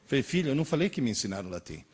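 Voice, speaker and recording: A man in his sixties lectures to a live audience in a slightly husky voice that swings widely in pitch. He tells stories and keeps putting questions to the listeners, with his voice sometimes drifting off the microphone. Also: racing. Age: 60s. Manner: lively storytelling, questions to the audience.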